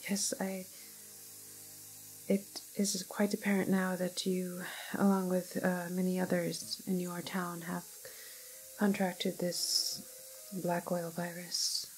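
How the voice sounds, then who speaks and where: A woman doing an ASMR roleplay as a calm, apologetic doctor, speaking in whispers and hushed, halting explanations. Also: fairly low pitch, faint music behind, rough recording. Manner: hesitant, halting, gentle clinical explaining. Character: calm, apologetic female doctor in a quarantine facility. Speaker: ASMR performer playing a doctor.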